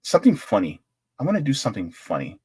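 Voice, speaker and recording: A young man talks casually on a live show, rambling from one note to the next and getting excited now and then. His voice sits at an ordinary middle pitch. The sound is dry and close to the mic. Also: fast, breathy edge, dry recording. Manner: chatty and rambling, excitable bursts. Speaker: political commentary host. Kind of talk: casual live-stream sign-off chat.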